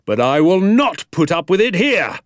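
Storybook schoolmaster: military bully, barking and menacing, contemptuous, highly animated, dry close sound. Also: male voice, dry recording. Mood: scornful, stern. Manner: barking, menacing, drawn-out emphatic delivery.

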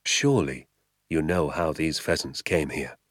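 A man voicing a calm, mischievous father who speaks softly and wryly. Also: on the low side, normal speed, no room sound, clean studio sound. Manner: soft-spoken, wry.

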